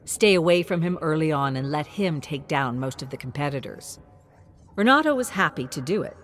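A narrator reading a scripted story in a dramatic, expressive audiobook style. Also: mid-range voice, slight background noise.

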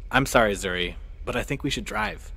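A man's voice: mid-range voice, big pitch swings, a little fast, gravelly, bright, forceful tone, exaggerated voice. An actor in an audio drama playing a hesitant, apologetic young man with a tentative, conciliatory manner. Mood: uneasy, placating. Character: hesitant, apologetic young man caught between feuding crewmates.

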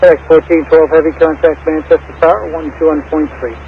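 Higher-voiced male controller on tinny radio. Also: little pitch movement, a little fast. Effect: aviation radio. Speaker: air traffic controller. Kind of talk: clipped radio instructions. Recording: tinny narrowband radio, faint engine noise.

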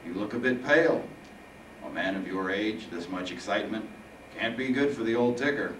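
A menacing, mockingly grandiose Grim Reaper put on by a man from a comic storybook. The voice is phlegmy and theatrical, with sweeping pitch. It sits in a roomy space, slightly away from the mic. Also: animated, normal speed, a bit bright. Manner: grandiose, dramatic. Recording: roomy, distant mic, faint noise.

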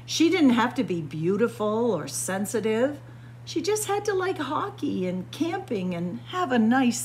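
A woman reading a children's picture book aloud with deliberate, expressive storytelling. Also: medium pitch. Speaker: storytime reader. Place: indoors, room with library bookshelves. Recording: faint background noise.

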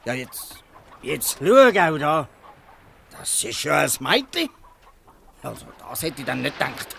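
A man playing a gruff, shrewd old fisherman who scolds in Swiss German in a radio play. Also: a little high, very animated, measured pace, noisy background, heavily acted. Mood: scolding, gloating. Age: older. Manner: blustering, ends taunts with a questioning "hä". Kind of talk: dialect radio play acting.

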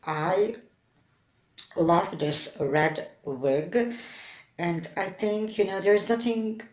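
A woman vlogger chatting casually to the camera in a halting, hesitant way as she gets ready. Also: medium pitch. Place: plain-walled room indoors. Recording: echoey room.

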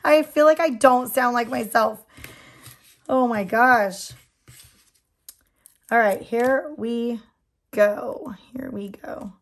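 A woman's voice: fairly high pitch, slow, long pauses. A woman chatting casually while doing her nails, her voice a bit worn from losing it, rambling with frequent ums and little laughs. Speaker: nail product seller and channel host. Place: indoors at a tabletop.

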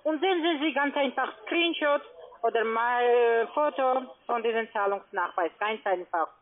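Female call centre agent, halting phrasing, narrow phone-line sound. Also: fairly high pitch. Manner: halting, non-fluent phrasing. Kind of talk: phone instructions, halting speech.

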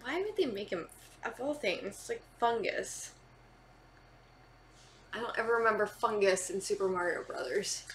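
A woman's voice: medium pitch, animated, normal speed, long pauses, fairly bright tone, a little hiss or hum. A woman in her thirties giving casual, sarcastic, incredulous commentary as she reacts on a movie. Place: living room couch, indoors.

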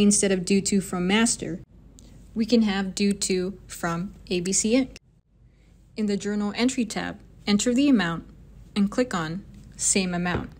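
A woman reading scripted narration for a software demo in an instructional, step-by-step manner. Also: mid-range voice.

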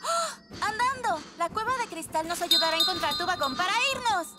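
A woman's voice: very high voice, expressive, fairly quick. A woman voicing a cheerful, helpful young female train engine in a Latin American Spanish children's cartoon dub, playful and eager. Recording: loud music behind.

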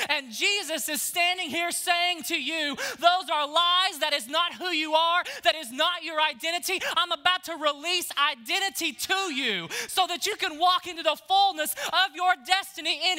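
A man in his thirties preaching an energetic sermon on a church stage, swinging from hushed lines to shouts. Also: very high-pitched, normal speed, few pauses. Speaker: pastor.

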